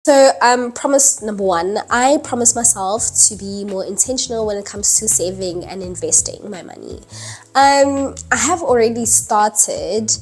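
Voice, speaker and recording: A woman's voice: medium pitch, a little slow, darkish tone, slightly rough. Chatty young woman vlogging. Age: early 20s. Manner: chatty, many fillers like "um" and "like", laughs lightly. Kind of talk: casual talking-head vlog. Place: indoors at night, dim lighting.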